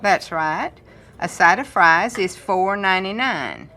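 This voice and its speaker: A folksy diner waitress in an audio drama. Her voice is bright and forward at a medium pitch. She is homey and matter-of-fact. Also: animated, a little slow. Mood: firm. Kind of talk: audio fiction drama acting.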